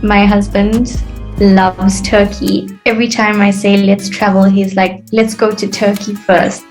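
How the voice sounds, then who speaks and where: Brief: South African woman, 30s, friendly casual video-call chat, mid pitch, faint music under it. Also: level tone, a little slow, darkish tone. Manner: friendly, chatty, with fillers. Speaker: participant.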